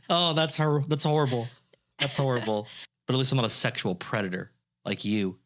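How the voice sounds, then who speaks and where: A man's voice: moderate pace, fairly bright tone. Teasing young man laughing through podcast banter. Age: 20s. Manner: teasing, breaks into high laughter. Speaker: podcast co-host.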